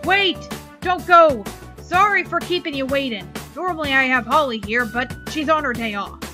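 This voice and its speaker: A woman's voice: sits high, lively intonation, normal speed, music in the background. A woman voicing a friendly, apologetic male estate agent who is an angel, explaining things in a story reading.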